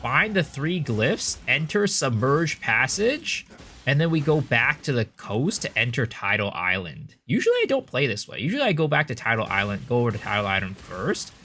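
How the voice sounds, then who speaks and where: A young man with an American accent talks through his game at a home desk mic, his pitch swinging up and down a lot. He chats loosely, muttering to himself, then jumps into loud, excited thanks for viewers. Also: normal speed, slight background noise. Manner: chatty, thanks viewers with big excited bursts. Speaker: livestreamer. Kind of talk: casual gameplay commentary.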